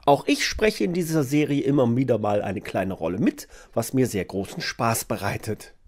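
Casting: male, medium register, lively intonation, addressing listeners with a short greeting. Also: medium pitch, normal speed. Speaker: occasional voice actor greeting listeners.

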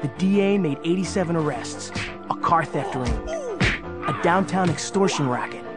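An actor playing an earnest, youthful superhero sidekick, narrating a children's audio drama. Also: male voice, fairly high pitch, normal speed, close to an everyday voice. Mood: earnest storytelling. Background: music.

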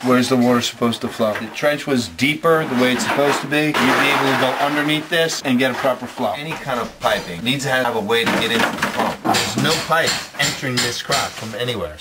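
A man's voice: mid-range voice, a little fast, few pauses. Blunt man in a noisy basement. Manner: blunt, repetitive, pointing things out. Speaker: basement waterproofing contractor. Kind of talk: on-site demonstration. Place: basement with cinder block walls. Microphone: headset mic. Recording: noisy basement sound, roomy echo.

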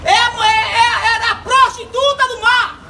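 A middle-aged man with a Bahian Brazilian accent, preaching fervently in the street and on a bus, breaking into shouts and repeating words. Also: very high voice, fairly even intonation, slow pace, vocal fry. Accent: Bahian Brazilian Portuguese. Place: city street and inside a bus. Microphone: handheld phone camera. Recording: phone recording with loud crowd and traffic.

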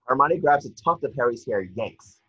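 A man with a husky voice reading out stage directions as scripted narration at a table read on a video call. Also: medium pitch, normal speed, pushed and bright, no room sound. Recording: muffled, dry video call audio.

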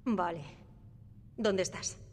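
A woman's voice: on the low side, slow pace, darkish tone, slight background noise. An actress playing a determined, anxious young woman survivor in a video game, speaking urgently. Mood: wary, defiant. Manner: urgent, questioning. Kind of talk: dramatic video game acting.

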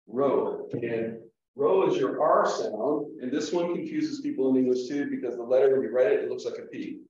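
A man teaching a Greek lesson at a whiteboard, explaining slowly with fillers and restarts, heard through a room mic on a video call. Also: medium pitch, dark tone, recorded from a distance. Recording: echoey room, dull lo-fi sound.

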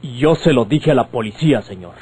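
An actor playing a defiant, indignant young son in an old radio drama, speaking formally and declamatorily. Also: male voice, medium pitch, lively intonation, brisk, warm tone, slightly rough. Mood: grave, defiant. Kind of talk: old Mexican radionovela acting.

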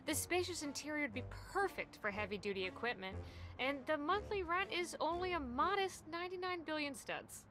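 An actress playing a polished real estate agent in a game, with smooth sales patter. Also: female voice, high-pitched, animated, fairly quick. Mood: salesy. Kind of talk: animated game cutscene acting.

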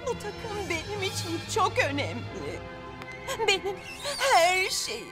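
A woman's voice: extremely high pitch, huge pitch swings, takes it slowly, exaggerated voice. A woman voicing a bossy, haughty teenage mummy princess in a cartoon dub, imperious and dramatic. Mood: regretful. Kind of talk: animated cartoon dubbing. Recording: loud music under the voice.

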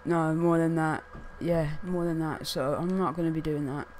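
A young man with a croaky, hoarse voice, chatting casually to camera as a gaming video host and rambling with lots of fillers. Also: fairly high pitch, fairly even intonation, normal speed, a little mellow, slight background noise. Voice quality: croaky, losing his voice. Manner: rambling, many fillers like "what's it called". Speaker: gaming YouTuber.

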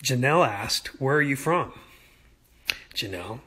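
A man's voice: mid-range voice, slightly rough, rough recording. A young man in casual livestream chat from home, talking easygoing and rambling into a phone held at arm's length. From United States. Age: young adult. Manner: easygoing, rambling, slow-paced, lively. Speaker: singer answering fan questions. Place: indoors at home.

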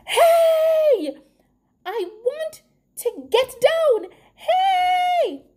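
A woman voicing a bossy, angry husband who yells indignantly in a children's storybook. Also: extremely high pitch, sing-song, drawn-out words, voice pushed up, full character voice. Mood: impatient yelling. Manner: yelling, indignant, demanding. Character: loud, bossy, angry older husband stuck up a tree.

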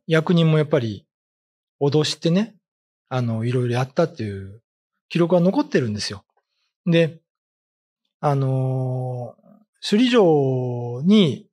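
A man's voice: mid-range voice, slightly rough. A middle-aged man giving a casual, explanatory talk on folklore in a conversational manner, speaking into a desk microphone. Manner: conversational, explaining with everyday examples. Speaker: ghost story writer and commentator. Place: indoors, seated at a desk. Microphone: desk microphone with pop filter.

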